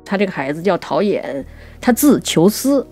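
A woman co-hosting a conversational talk on classical poetry, explaining things and reciting verse slowly. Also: medium pitch, big pitch swings, warm tone, faint music behind. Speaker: writer and co-host.

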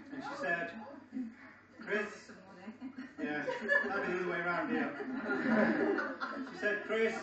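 A middle-aged man giving a joking wedding speech, telling stories with deliberate pauses. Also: sits high. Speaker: wedding speaker, best man. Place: large function room, indoors. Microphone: far camera in the audience. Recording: echoey, muffled, distant, noisy crowd. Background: audience crowd and laughter.

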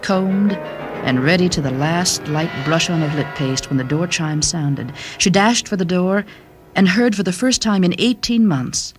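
A woman giving a dramatic scripted reading of a short story. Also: fairly low pitch. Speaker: story narrator. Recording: music bed behind the voice.

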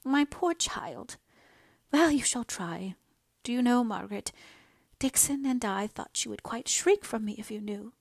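Ailing middle-aged mother for storybook drama played by a female reader: plaintive, emotional, quick to take offence, raised pitch. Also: expressive, creaky, dry recording, full character voice. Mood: weary, anxious. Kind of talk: dramatised audiobook dialogue.

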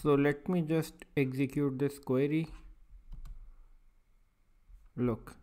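A man instructing step by step in a screencast tutorial, speaking in halting, piecemeal phrases and often checking in with an okay. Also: fairly high pitch, steady tone, darkish tone. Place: voice-over for a screen recording.

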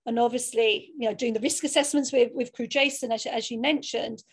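A woman's voice: a little high, steady tone, a little fast, slightly rough. A woman moderating a student panel discussion in an online webinar.

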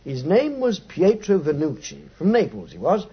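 A self-satisfied British police inspector, boastful and emphatic. The voice is gravelly and rather high, on a dull vintage radio drama recording. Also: male voice, wildly swooping pitch, moderate pace, exaggerated voice. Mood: smug, boastful. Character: cocky, self-satisfied male Scotland Yard police inspector. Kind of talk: 1950s radio drama acting.

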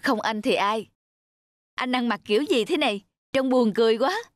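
A woman's voice: a little high, moderate pace, no room sound, faint music behind, natural voice. A woman voicing a frank young family friend in a cartoon. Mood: amused, frank. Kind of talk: cartoon voice acting.

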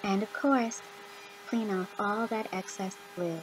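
A woman's voice: mid-range voice, steady tone, slow, background noise. A woman giving scripted instructional narration for a woodworking video.

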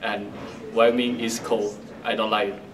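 A man's voice: lowish voice, flat delivery, measured pace. A seventeen-year-old male exchange student from Thailand with a Thai accent, introducing himself. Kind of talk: self-introduction to camera. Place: indoor school room. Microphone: camera mic at a distance. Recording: distant mic, noisy background.

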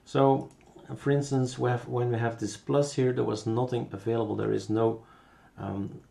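A man with a Belgian accent giving a casual, unscripted software tutorial, thinking aloud as he works. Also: mid-range voice, level tone, moderate pace, gravelly.

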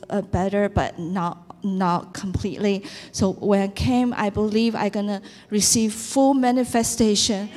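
Chinese-accent woman telling her healing story, halting, lots of ums, echoey hall. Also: mid-range voice, darkish tone. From China. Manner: hesitant, many fillers and restarts. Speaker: testifying congregant. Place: large church stage. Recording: long hall reverb.

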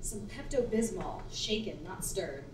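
A woman's voice: medium pitch, a little slow, faint music behind, close to an everyday voice. An actress playing a brash, unbothered tough woman. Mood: dry. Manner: brash, curt and deadpan. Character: blunt tough-talking woman, proud, unbothered. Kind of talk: live stage acting. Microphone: far room mic. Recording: roomy theater sound from far off.

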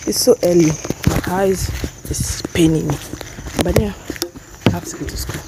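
A woman's voice: lowish voice, moderate pace. A woman in her early twenties with a Nigerian accent, chatting casually and repetitively to her vlog, slipping into some Pidgin. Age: early 20s. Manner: informal, repeats herself, some Pidgin. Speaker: vlogger, student. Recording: phone recording with background noise and chatter.

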